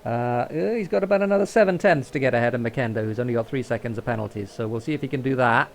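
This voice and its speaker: A man commentating a sim race, calling each move in brisk play-by-play. His delivery climbs when drivers fight side by side, then settles back. A faint hum of noise sits under his voice. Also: vocal fry. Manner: brisk play-by-play that lifts in pitch during battles. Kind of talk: live sim racing commentary.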